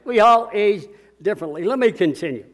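Booming older pastor preaching a sermon. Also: male voice, lively intonation. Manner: booming, emphatic, slows on key words. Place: church.

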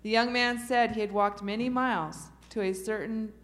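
A woman reading a short story aloud to children in a steady storytelling manner. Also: a little high, moderate pace. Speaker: story reader.

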